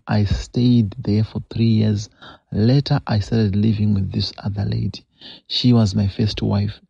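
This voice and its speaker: A man's voice: on the low side, gravelly, warm tone, slightly rough, no echo. A man with a Zimbabwean English accent hosting a show, reading a confession aloud and then giving earnest, scolding advice with repeated phrases. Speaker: host. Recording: dull lo-fi dry recording.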